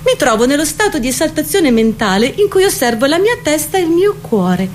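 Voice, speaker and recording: A woman's voice: high voice, sing-song, a little fast, a little hiss or hum. A woman performing a poetic theatrical confession as an exalted, tormented woman, with intense declamatory delivery. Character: exalted, anguished woman confessing torment over beauty and body.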